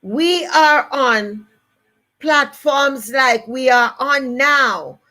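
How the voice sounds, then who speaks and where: A woman's voice: high voice, drawn-out words, sharp tone. An older woman with a Jamaican accent giving a motivational live-stream talk, emphatic and repeating her key phrases. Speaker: motivational speaker.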